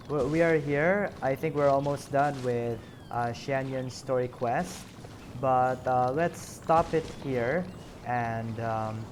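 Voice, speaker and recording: A man streaming a video game, chatting casually and haltingly with lots of ums and uhs. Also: medium pitch, background noise. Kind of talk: casual gameplay commentary. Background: game music.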